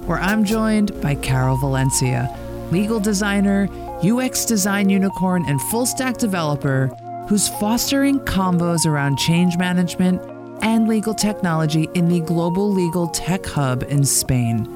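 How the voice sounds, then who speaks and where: A woman's voice: on the low side, lively intonation, normal speed, music in the background. A woman hosting a podcast in an informal, effusive, joking way, in casual conversation. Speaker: podcast host.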